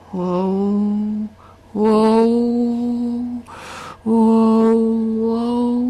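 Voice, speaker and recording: A man's voice: high voice, darkish tone, husky, heavily acted. A man playing a rambling male tourist who is tripping on a pill, stammering and repeating his words in a radio drama monologue. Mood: dazed, alarmed.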